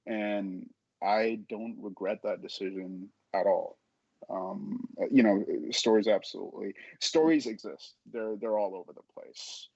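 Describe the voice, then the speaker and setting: A young man talking candidly as a podcast guest, halting as he searches for his words. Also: lowish voice, vocal fry, darkish tone, no room sound. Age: young adult. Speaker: podcast guest, audio drama creator. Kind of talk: candid casual conversation. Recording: dull, dry lo-fi sound.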